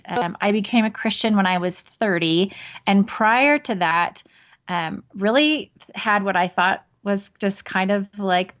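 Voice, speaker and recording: A woman in her late forties, a podcast guest, talking earnestly and reflectively with many pauses and ums. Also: mid-range voice, a bit bright, dull audio. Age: 40s. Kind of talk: casual podcast interview.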